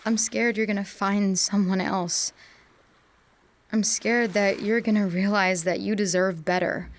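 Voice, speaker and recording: A young woman acting an insecure, fearful student desperately in love, in an emotional, halting audio drama monologue. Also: medium pitch, fairly even intonation, lightly acted. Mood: fearful, hurt.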